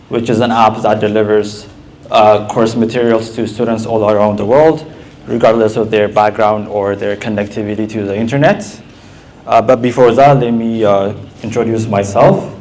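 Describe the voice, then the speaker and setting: Lower-voiced young man from Ethiopia. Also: normal speed. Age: 20s. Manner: steady, clear, frequent "uh" and "like". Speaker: student presenter. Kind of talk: prepared app presentation. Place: event stage.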